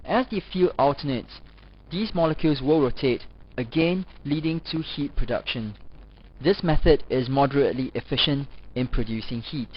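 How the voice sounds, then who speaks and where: A man reading scripted educational narration as a lecturer, in a formal, measured manner. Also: mid-range voice, husky. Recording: dull, lo-fi voice-over recording.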